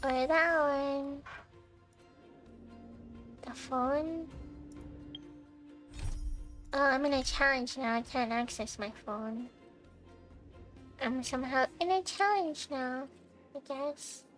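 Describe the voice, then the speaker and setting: A woman's voice: high-pitched, takes it slowly, pauses often, a bit bright, soft background music. A woman giving casual, playful live commentary on a game, chattily thinking aloud as she plays. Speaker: game streamer. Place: indoor livestream setup with game music behind the voice.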